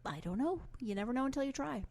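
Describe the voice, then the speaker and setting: Enthusiastic woman podcast host, a bit hoarse. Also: sits high, animated, fast talker. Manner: warm-hearted, enthusiastic, chatty asides. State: hoarse from a cold. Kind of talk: solo podcast outro.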